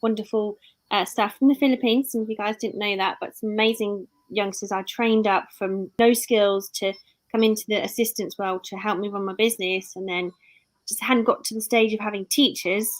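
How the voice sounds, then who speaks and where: Brief: British woman in her thirties, casual live-stream host, chatty and rambling, mid-pitched. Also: steady tone, moderate pace, low-quality recording. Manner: rambling, self-correcting.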